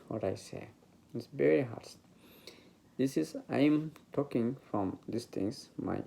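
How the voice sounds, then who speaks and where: A man with a Bengali accent, speaking English as a later language, giving an informal spiritual talk about meditation, halting and searching for words. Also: mid-range voice, gravelly, dark tone, poor audio. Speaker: meditation teacher.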